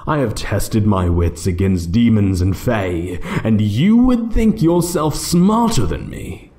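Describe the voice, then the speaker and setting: A man playing an ancient, haughty dragon who taunts with slow, deliberate menace in a fantasy ASMR roleplay. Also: fairly low pitch, big pitch swings, warm tone. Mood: haughty, insulted. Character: ancient menacing male dragon guarding its hoard.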